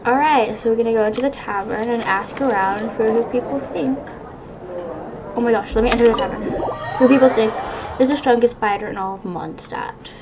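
High-voiced woman doing gameplay commentary. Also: a little fast, muffled recording, noisy background. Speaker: gaming YouTuber. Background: game music.

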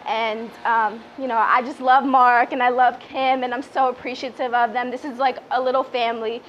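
A young woman with a fairly high voice gives an earnest speech at a small outdoor gathering. She holds a microphone and speaks a little hesitantly. The sound is somewhat dull, with faint background noise. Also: little pitch movement, moderate pace. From born in Peru, grew up in the Washington DC area. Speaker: public relations director giving a speech. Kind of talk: personal testimonial speech at a small event. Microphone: handheld microphone, lapel mic also worn. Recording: muffled, noisy live PA recording.

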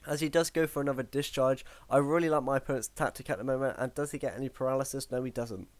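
A man with a croaky voice commentating his own online battle, thinking aloud with frequent ums and self-corrections. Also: mid-range voice, fast talker, no room sound. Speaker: gaming commentator. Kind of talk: live battle commentary over gameplay.

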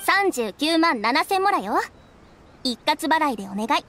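A woman playing a shrewd, confident young female detective in a video game, brisk and teasing. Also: high-pitched, sing-song, sharp tone, full character voice. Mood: businesslike, teasing. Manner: brisk, self-assured. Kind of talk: video game dialogue acting.

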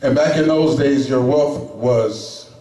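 A middle-aged man preaching a sermon in a small chapel into a handheld microphone, emphatic and pausing often. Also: medium pitch, mellow. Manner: slow, emphatic preaching with pauses. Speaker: lay preacher. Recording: echoey room, camera at a distance.

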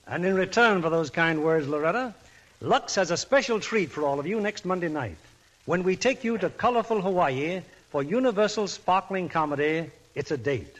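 A man's voice: high voice, animated, normal speed, poor audio. A man hosting a 1940s radio drama broadcast in a polished, genial, scripted way. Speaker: radio show host and director. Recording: old radio broadcast with music.

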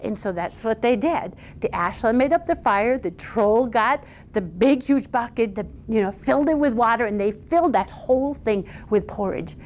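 A woman storyteller telling a fairy tale aloud in an informal, conversational and animated way. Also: fairly high pitch, moderate pace, few pauses.

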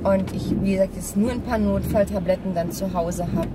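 A woman's voice: mid-range voice, steady tone, brisk. A woman chatting casually and candidly to her viewers as she drives, in a rambling vlog style. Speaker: vlogger. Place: inside a car while driving. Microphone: camera mounted in front, at a distance. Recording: car cabin, loud driving noise, distant mic.

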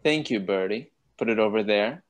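A man playing a courteous, troubled gentleman doctor with polite, formal speech in a radio play. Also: on the low side, normal speed, lightly acted. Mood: preoccupied.

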